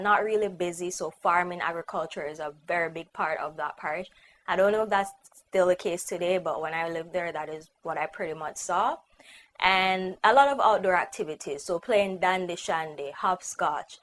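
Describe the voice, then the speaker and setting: A young woman with a Jamaican accent talks to her channel in a relaxed, chatty way, often dropping in "like" as she goes. Her voice sits fairly low for a woman. A faint hiss runs under the recording. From Guyana, raised in Jamaica. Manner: chatty, upbeat, many "like" fillers. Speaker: vlogger. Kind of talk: casual personal vlog.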